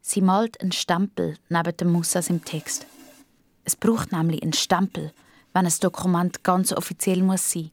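Swiss german woman telling a kids story, lowish voice, simple deliberate phrases. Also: fairly even intonation. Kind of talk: children's story narration.

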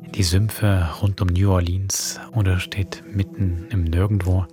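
A man hosting a podcast, reading a scripted outro in a hushed, breathy delivery. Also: sits low, flat delivery, measured pace, faint music behind. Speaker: podcast host.

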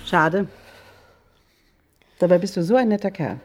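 An actress in a German radio crime drama playing a middle-aged detective, calm, caring and quietly insistent. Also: female voice, on the low side, a little slow, music in the background. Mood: insistent, disappointed.

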